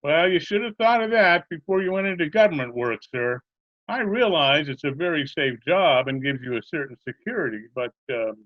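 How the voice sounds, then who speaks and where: Muffled, animated man playing a scatterbrained chatty fellow. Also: on the high side, normal speed, natural voice. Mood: earnest, muddled. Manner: chatty, earnest, politely literal-minded. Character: genial scatterbrained male butcher who muddles every question. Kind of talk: old-time radio comedy acting. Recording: dull remote video-call recording.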